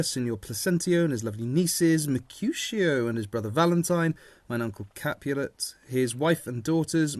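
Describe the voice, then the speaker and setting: Man playing a wry, lovelorn young Shakespearean gentleman. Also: mid-range voice, dry recording, lightly acted. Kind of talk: Shakespearean audio drama acting.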